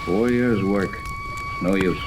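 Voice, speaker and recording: An actor playing a steady, fatherly middle-aged sea captain in a 1930s radio serial. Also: mid-range voice, takes it slowly. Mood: resigned. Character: seasoned, steady male yacht captain and inventor, fatherly. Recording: noisy, echoey old radio recording.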